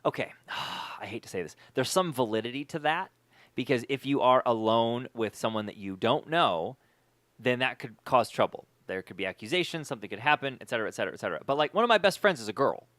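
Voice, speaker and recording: Male podcast host, lively swooping intonation, wry conversational delivery, dry recording. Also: medium pitch, fast, no echo. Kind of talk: casual chat and interview.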